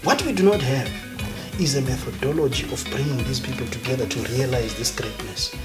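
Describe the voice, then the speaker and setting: A man with a Zimbabwean accent, a guest academic talking emphatically and with passion in a relaxed interview. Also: mid-range voice, a little fast. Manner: emphatic, passionate, mixes in Ndebele phrases. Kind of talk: conversational interview. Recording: background music. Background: music.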